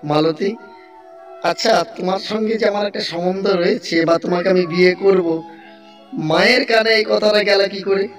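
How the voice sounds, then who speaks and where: A man's voice: high voice, normal speed, recorded from a distance, faint music behind, pitched above the performer's own voice. A man voicing an earnest, frustrated jobless young village son with a pleading manner in a cartoon story. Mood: annoyed. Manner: pleading, plaintive. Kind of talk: Bengali cartoon story dialogue.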